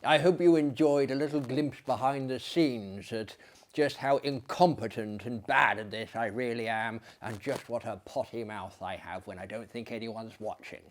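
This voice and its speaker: A man who performs dramatic readings, speaking in a hammy, florid, self-deprecating storyteller's manner that swells and hushes. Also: mid-range voice, moderate pace.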